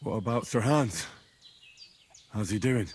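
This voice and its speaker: An actor playing an earnest, polite young commoner hero in a medieval game. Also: male voice, fairly low pitch, expressive, a little slow, husky.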